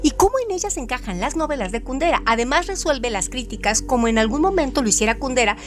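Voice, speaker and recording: A woman host reading a scripted trivia segment in a measured, expressive way. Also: high-pitched. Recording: music playing underneath. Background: background music.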